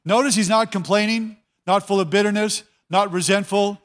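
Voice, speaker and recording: A man's voice: high-pitched, steady tone, moderate pace. A man preaching a sermon as a pastor, talking conversationally, repeating his words and often telling listeners to watch.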